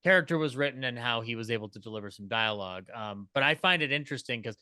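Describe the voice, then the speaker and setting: A man's voice: mid-range voice, fast, pushed and bright, clean tone, no room sound. A man chatting casually as a panelist, rambling and repeating words as he works out his point.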